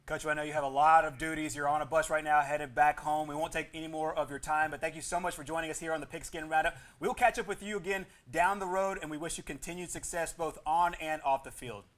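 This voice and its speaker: Fast-talking male sports show host. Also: on the high side, steady tone, fairly bright tone. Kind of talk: upbeat high school football score roundup.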